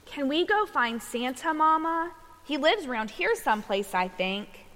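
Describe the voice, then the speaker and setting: Storybook bear cub, played by a woman reader: small, curious, wondering, lively intonation, reverberant hall. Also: high voice, measured pace. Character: eager, curious little polar bear cub. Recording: echoey hall, long reverb.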